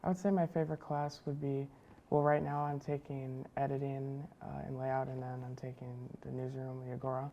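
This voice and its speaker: Very low, gravelly young woman. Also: flat delivery, a little fast, lo-fi sound, a little hiss or hum. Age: young adult. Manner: soft-spoken, trailing off quietly. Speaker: student journalist. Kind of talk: casual interview chat.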